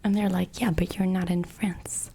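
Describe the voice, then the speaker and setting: A woman's voice: on the low side, little pitch movement, normal speed, vocal fry, mellow, slight background noise. A woman streamer who whispers casual stories and chat replies, often giggling and sometimes switching into French. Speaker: livestreamer reading chat.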